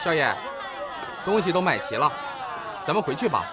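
A man plays A dutiful male servant from a Chinese period radio play. His deferential voice is lively in pitch, heard amid clear street noise and crowd murmur. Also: moderate pace. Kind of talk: Chinese period audio drama acting. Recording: noisy street crowd ambience.

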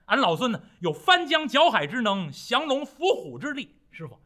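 Guy doing a cheeky fearless monkey king from a folk tale, bragging, bright and lively. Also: fairly high pitch, brisk, husky, heavily acted. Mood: cocky. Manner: boastful, breezy, reassuring. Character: cocky, eager monkey king disciple, male, fearless.

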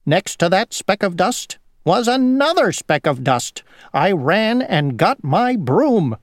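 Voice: medium pitch, very animated, slow, no room sound, studio quality, voice pushed up. A reader voicing an obsessively tidy housefly from a children's storybook, in a busy, insistent manner. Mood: fussy, earnest.

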